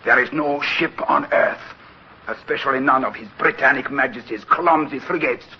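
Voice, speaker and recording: An actor playing a suave, boastful French privateer captain with a French accent, mocking and faintly menacing, in a 1950s radio drama. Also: male voice, mid-range voice, normal speed, lightly acted. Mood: smug, mocking. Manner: mocking, smug, florid. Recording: dull, noisy 1950s radio recording.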